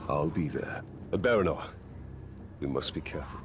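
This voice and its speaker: An actor playing a seasoned, sombre soldier in a video game, understated and grave. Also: male voice, very deep voice, expressive, normal speed, background noise. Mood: grave, wary. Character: seasoned, sombre soldier, dry-humoured and guarded.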